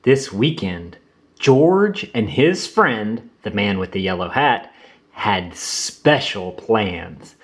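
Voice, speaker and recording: A man's voice: lowish voice, very animated, takes it slowly. A man narrating a children's picture book, reading aloud expressively with theatrical emphasis for young listeners.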